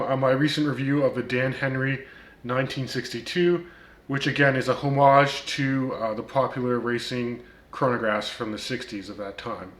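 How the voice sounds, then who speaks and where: A man with a light Turkish accent talks in a casual vlog and fills his sentences with plenty of ums and uhs. His pitch sits in an ordinary middle range, and he fires back at critics with wry one-liners. The room around him rings with a noticeable echo. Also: a bit bright. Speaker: watch reviewer, channel host.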